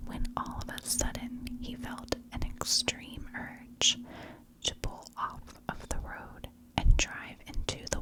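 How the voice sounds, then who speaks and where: A woman narrates a story as an ASMR host, entirely in a whisper. Her delivery is very slow and deliberate, each phrase set down in a hushed, unvoiced breath. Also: rough recording. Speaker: ASMR true crime host.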